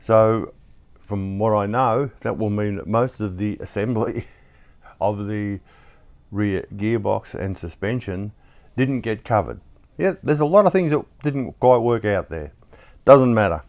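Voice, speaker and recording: A man hosting a casual hobby build tutorial. His voice is slightly croaky after being ill, and he talks in a chatty, easygoing way, thinking aloud as he works. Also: lowish voice, expressive, measured pace, a little mellow. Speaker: hobby shop host building a model kit.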